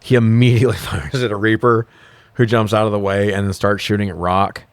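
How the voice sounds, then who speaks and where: A man with a fairly low voice talks casually as a podcast co-host. He recaps and reviews film scenes in a joking way and swears freely. The sound is very dry, with no room on it. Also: level tone, fairly quick, clean tone, no room sound.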